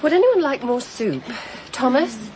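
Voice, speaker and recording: An actress playing an anxious, meddling companion in a BBC radio drama, fretful and well-meaning. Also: female voice, sits high, sing-song, unhurried, noisy background. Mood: pleading.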